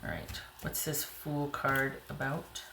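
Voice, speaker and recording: A woman reading tarot cards to camera in a casual way, a little hoarse, thinking aloud as she pulls the cards. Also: a bass voice, monotone, slow, echoey room, noisy background. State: slightly hoarse. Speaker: tarot reader.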